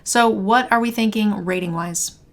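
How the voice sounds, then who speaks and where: A young woman hosting a card game review, a bit hoarse as her voice is failing, talking in an upbeat conversational way. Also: mid-range voice, normal speed. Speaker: host. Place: home streaming room, indoors.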